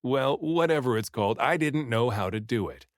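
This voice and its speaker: A man narrating a first-person adventure story aloud in an expressive, dramatic storytelling manner. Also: mid-range voice, normal speed, no room sound, studio quality. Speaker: audiobook reader.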